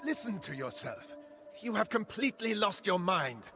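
An actor playing an impatient, scornful older archbishop in a game cutscene. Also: male voice, high voice, very animated, moderate pace, sharp tone, faint music behind, full character voice. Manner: scornful, curt.

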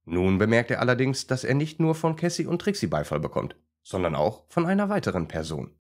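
A man's voice: mid-range voice, very animated, a little fast, a little mellow. A man narrating a scripted story for children as a storyteller.

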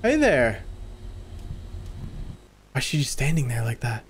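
A man's voice: mid-range voice, sing-song, measured pace. A man in his twenties giving casual, slangy reaction commentary into a close desk microphone as a video host. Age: 20s. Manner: informal, slangy. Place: small room at home, indoors. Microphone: desk microphone close to the mouth, headset on. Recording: clear background noise from played video.

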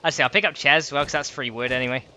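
A man's voice: medium pitch, pushed and bright, slight background noise. A man hosting a livestream, narrating what he does in the game and joking with his co-players. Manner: narrates his own play, quick to laugh. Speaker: livestream host. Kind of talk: casual gaming chat.

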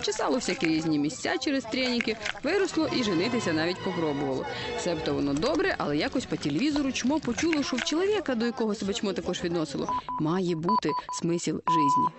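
A man with a high voice narrates a satirical cartoon story. His delivery is quick and colloquial, mocking the fairy-tale form with irony, and music plays behind him. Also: very animated.

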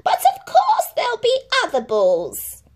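Storybook villain: haughty, sly stepmother, high-pitched and swooping, sneering orders. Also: huge pitch swings, unhurried, exaggerated voice. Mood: sneaky, mocking. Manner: sneering, demanding.

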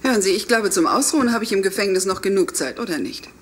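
Actress as a proud, weary woman, medium pitch. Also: animated, fast talker, a little hiss or hum, natural voice. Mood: weary, bitter. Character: weary, proud, bitter accused wife. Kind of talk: radio play acting.

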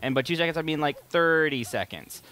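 Bright, lively young man goofing around. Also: a little high, a little fast. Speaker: gaming show co-host. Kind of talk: casual joking chat over video game play.

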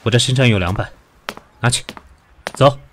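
An actor in an audio drama playing a cool, sharp-tongued company boss with a dry, cutting way of speaking. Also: male voice, mid-range voice, moderate pace, slight background noise, lightly acted.

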